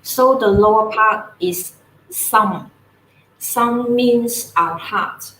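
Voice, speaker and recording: A woman from Hong Kong with a Cantonese accent, giving a guest talk in an online panel and explaining things slowly and gently. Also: on the high side, echoey room, poor audio. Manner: slow, gentle explaining with soft laughs. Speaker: guest speaker.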